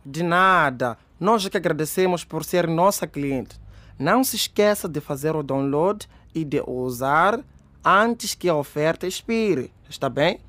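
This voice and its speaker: A smooth con man in a radio drama, posing as customer service. His voice is a bit high and dry, with polite sales manners. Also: lively intonation, unhurried, fairly bright tone, no room sound. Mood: courteous, smooth.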